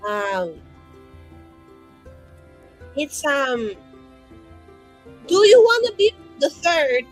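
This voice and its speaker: A woman's voice: sits high, full character voice, poor audio. A woman voicing a nervous, scheming young mage in a fantasy tabletop game, rambling, slangy and anxiously earnest. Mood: awkwardly earnest.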